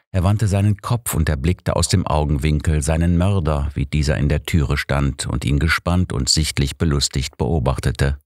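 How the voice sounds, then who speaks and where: A man's voice: rumbling low, a little mellow, no echo, clean studio sound. A man reading scripted narration of a crime story as an audiobook narrator.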